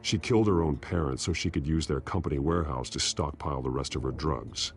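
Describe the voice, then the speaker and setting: Casting: grim masked game hero, extremely low growling voice, measured delivery, music behind. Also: male voice. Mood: grim, serious. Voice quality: deep gravelly growl. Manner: grave, measured, terse. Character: brooding masked vigilante hero, grim, protective, adult man. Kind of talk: dramatic video game acting. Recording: loud music under the voice.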